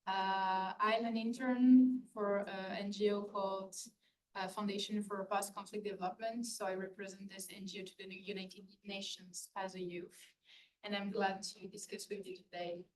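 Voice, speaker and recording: A woman's voice: mid-range voice, level tone, normal speed, poor audio. Young woman from Monaco, polite intro. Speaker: NGO intern. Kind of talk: panel self-introduction.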